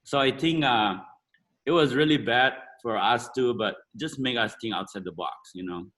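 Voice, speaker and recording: Fast-talking earnest man, bright voice. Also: mid-range voice. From India or Burma. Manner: quick, earnest, broken English with many "you know"s. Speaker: martial arts school owner. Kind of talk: casual interview talk.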